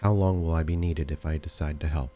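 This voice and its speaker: A man voicing a guarded, blunt female dance teacher in a tabletop game, with deliberate, formal phrasing. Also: rumbling low, fairly even intonation, warm tone, a little hiss or hum. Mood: guarded, wary.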